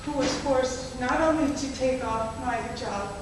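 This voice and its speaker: A woman voicing a humiliated woman forced to undress on a beach, speaking in a quiet, measured way. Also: high-pitched. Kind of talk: spoken-word poetry performance. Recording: echoey hall from far off, noisy.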